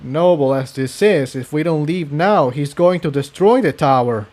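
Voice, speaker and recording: A man's voice: on the high side, moderate pace, darkish tone, natural voice. Man voicing a brave, urgent male pony hero. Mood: worried. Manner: urgent, authoritative. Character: brave, determined male young pony protecting his friends.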